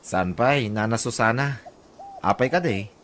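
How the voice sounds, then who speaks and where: A man's voice: mid-range voice, unhurried, fairly bright tone, a little hiss or hum, natural voice. A man with a northern Philippine accent playing a humble, hardworking father in a radio drama, deferential and earnest. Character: humble, hardworking widowed father, laundryman and vendor.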